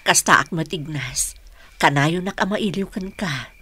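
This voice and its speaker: A woman's voice: deep voice, normal speed, pushed and bright, slightly rough, heavily acted. A woman playing a loving, anxious partner in an Ilocano radio drama, with tender, emotive delivery. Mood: tender, longing.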